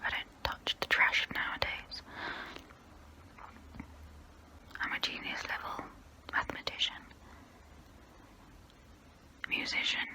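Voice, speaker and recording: A woman voicing a haughty immortal vampire who looks down on humans, in a breathy close-up whisper for an ASMR role play. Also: sharp tone. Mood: boastful. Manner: slow, deliberate, condescending.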